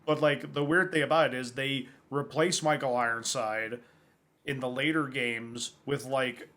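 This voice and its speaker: A man co-hosting a casual podcast about films and games. He talks in a loose, rambling way, with plenty of fillers. His voice sits at an ordinary middle pitch. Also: steady tone, unhurried, a bit bright. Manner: rambling, many filler likes. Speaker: podcast co-host.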